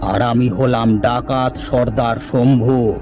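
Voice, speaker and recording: A man's voice: mid-range voice, steady tone, slow, music in the background, natural voice. A man voicing a menacing, mocking bandit chief in a cartoon, taunting and swaggering. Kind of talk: dubbed cartoon villain acting.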